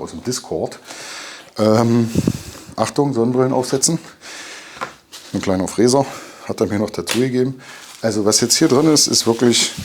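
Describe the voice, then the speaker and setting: A man's voice: fairly low pitch, slight background noise. A middle-aged man casually unboxing machine parts in a home workshop, chatty and enthusiastic, with lots of fillers. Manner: chatty, enthusiastic, frequent fillers and tag questions. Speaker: video maker building a CNC mill.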